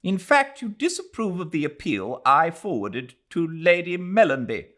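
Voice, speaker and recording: A man voicing a shrill, furious English lady. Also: very animated, normal speed, a bit bright. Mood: haughty, indignant. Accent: British. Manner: shrill, indignant, haughty.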